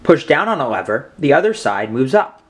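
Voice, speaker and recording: A man's voice: medium pitch, big pitch swings, normal speed, a little hiss or hum. A young man reading aloud and explaining simple science for children as a host.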